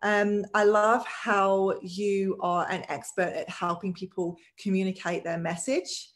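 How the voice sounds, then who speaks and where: A woman's voice: mid-range voice, little pitch movement, a little slow. Woman host, upbeat, filler-heavy, interviewing on a video call. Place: home room.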